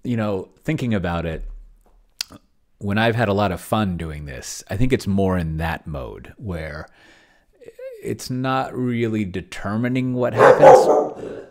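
A man's voice: lowish voice, takes it slowly, darkish tone. A middle-aged man giving casual livestream commentary, rambling and thinking aloud as he types. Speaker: solo role-playing streamer. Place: small cluttered home room, indoors.

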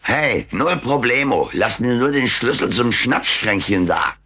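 A man playing a cheeky, wisecracking alien houseguest in a German children's audio drama, with a husky voice and a sardonic, flippant manner. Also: medium pitch, lively intonation, a little slow, bright, forceful tone, full character voice. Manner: sardonic, flippant, mock-offended.

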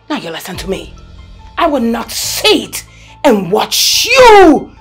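A woman's voice: medium pitch, lightly acted. An actress playing a greedy brothel madam who is also a domineering mother, begging a customer and scolding her son. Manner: pleading, then scolding and shouting.

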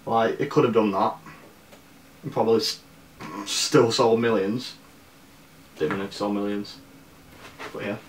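An eighteen-year-old man with a Northern English accent from Leeds, talking casually and haltingly to camera as a fashion vlogger. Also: fairly low pitch, steady tone. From Leeds, northern England. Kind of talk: casual product review to camera. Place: small bare room indoors. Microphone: camera mic at a distance. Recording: echoey room, distant mic, background hiss.

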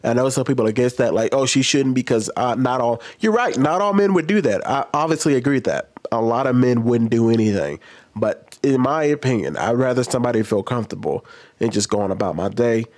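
A man's voice: medium pitch, lively intonation, fairly quick, a little mellow. An American man in his thirties giving casual opinion commentary as a host, conversational and often posing questions to himself. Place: small home room studio. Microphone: microphone on boom arm.

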